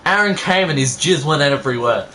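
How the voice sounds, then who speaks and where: A man with a fairly high, animated voice, reading fan fiction aloud as a casual co-host. He is halting, exasperated and sweary, with faint noise in the room behind him. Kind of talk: casual banter and amateur read-aloud. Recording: noisy room background.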